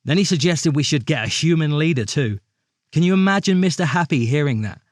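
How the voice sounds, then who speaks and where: An actor playing a guileless, accident-prone storybook character, chatting naively in a mock interview. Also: male voice, fairly high pitch, expressive, fairly quick, no echo, clean studio sound, close to an everyday voice. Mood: exasperated, gossipy. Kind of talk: mockumentary interview in audio drama.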